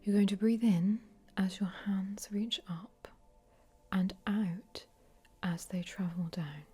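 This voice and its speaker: A woman voicing an easygoing, cheeky meditation guide in a breathy ASMR whisper, with gentle, rambling instructions. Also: medium pitch, steady tone, slow. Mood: soothing, gently cheeky. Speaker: ASMR host leading a relaxation session.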